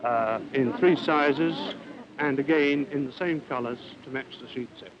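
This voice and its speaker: A man's voice: medium pitch, unhurried, crowd noise. A man, a shop salesman explaining his goods to an interviewer. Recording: dull 1950s newsreel, noisy shop floor.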